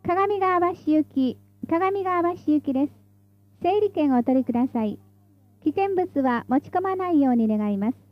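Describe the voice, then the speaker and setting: A woman's voice: high voice, lively intonation, normal speed, muffled recording, a little hiss or hum. A woman reading scripted tram announcements in a formal, polite, carefully enunciated way, as the stop announcer.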